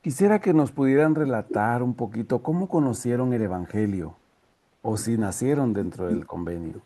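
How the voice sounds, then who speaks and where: A man's voice: mid-range voice, lively intonation, moderate pace, dark tone. A man hosting an online interview in a courteous, formal way. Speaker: interviewer and host.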